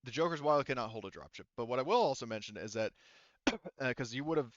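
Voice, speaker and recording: A man acting as game master, narrating a tabletop game casually in halting, rambling detail. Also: mid-range voice, animated, dry recording, highs cut off.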